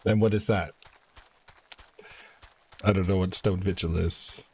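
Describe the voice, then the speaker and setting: Low-voiced man, casual game streamer. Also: steady tone, measured pace, breathy edge. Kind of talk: casual chat while playing.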